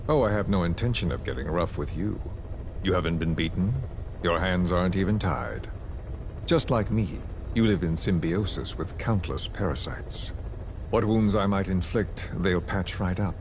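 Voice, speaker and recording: A man playing a cold, calculating video game villain who interrogates with measured, theatrical, falsely polite menace. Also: sits low, animated, noisy background. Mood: calm menace. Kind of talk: dramatic video game voice acting.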